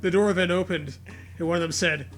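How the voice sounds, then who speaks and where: A man's voice: high-pitched, fairly even intonation, a little fast, soft background music. A man giving casual comedic commentary and mock story readings in a mocking, sweary manner. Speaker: podcast co-host.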